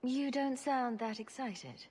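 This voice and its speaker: A woman's voice: high voice, takes it slowly, a little mellow, a little hiss or hum. A woman voicing a playful, sarcastic young rogue adventurer in a video game, with a wry, teasing manner.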